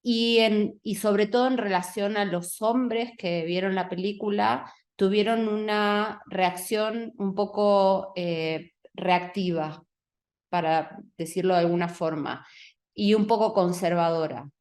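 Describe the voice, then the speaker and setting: A woman's voice: medium pitch, slow, darkish tone. A woman director, answering on a video call, thoughtful and searching for words with restarts. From Mexico. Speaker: film director.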